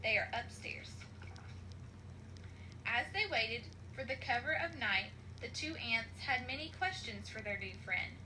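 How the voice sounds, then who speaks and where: A woman with a fairly high voice reads a children's storybook aloud. Her delivery is expressive, scripted narration. Clear noise sits behind the voice. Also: moderate pace, hardly any pauses, rough recording. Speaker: storybook reader.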